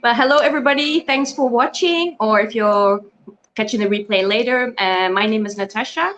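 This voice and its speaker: A woman's voice: fairly high pitch, moderate pace, a bit bright. A middle-aged woman from the Netherlands, a guest in an online interview, talking casually with many fillers and restarts. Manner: hesitant, many restarts and fillers, laughs often.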